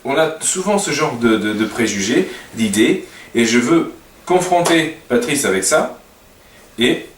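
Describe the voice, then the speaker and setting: A man's voice: mid-range voice, animated, unhurried. A man with a Portuguese accent speaking French as a second language, introducing himself informally and hesitantly, searching for his words. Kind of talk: informal unscripted introduction to camera. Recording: roomy echo, distant mic, faint noise.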